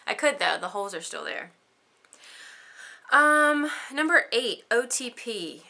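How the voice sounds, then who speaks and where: A woman's voice: fairly high pitch, animated, measured pace, gravelly, bright, forceful tone. A woman in her thirties chatting casually through a list of questions, giggly and rambling with lots of ums. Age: 30s. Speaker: beauty vlogger. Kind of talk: casual chat answering tag questions.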